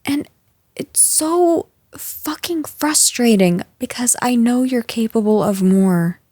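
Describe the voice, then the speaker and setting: A woman playing a stern, frustrated office boss in a scripted audio roleplay, speaking to an employee in a firm, measured, exasperated way. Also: fairly high pitch, lively intonation, mellow, no echo. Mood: frustrated, angry. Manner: firm, exasperated, measured managerial delivery with sighs.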